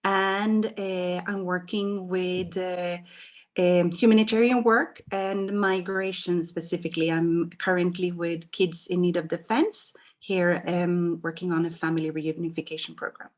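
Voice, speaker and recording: A woman's voice: lowish voice, flat delivery, unhurried. A woman from Sweden, a humanitarian worker, introducing herself on a video call, cheerful and a little hesitant. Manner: cheerful, laughs easily, hesitant.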